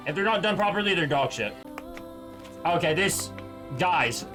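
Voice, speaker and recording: A man's voice: high-pitched, expressive, moderate pace. A man giving casual, blunt game reaction commentary as a streamer, slangy and full of swearing. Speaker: gaming streamer. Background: faint game music.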